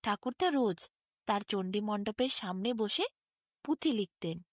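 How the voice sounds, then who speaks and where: A woman's voice: mid-range voice, lively intonation, a little slow, dry recording, clean studio sound. A woman, narrating a bedtime story expressively as a storyteller.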